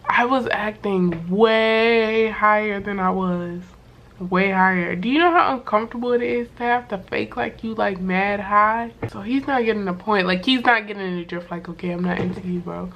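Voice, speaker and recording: A young woman with a voice worn hoarse from sickness, telling a story to camera in a loose, slangy way full of fillers and swearing. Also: mid-range voice, moderate pace, a bit bright, a little hiss or hum. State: hoarse from being sick. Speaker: vlogger. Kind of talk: casual storytime chat to camera.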